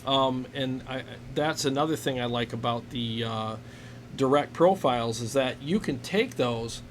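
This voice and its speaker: There is noise behind this man's mid-pitched voice. He hosts a live stream in a loose, casual chat style, with a jokey and enthusiastic manner. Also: a little slow. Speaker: host of a live gear Q&A stream. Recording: noisy live stream recording.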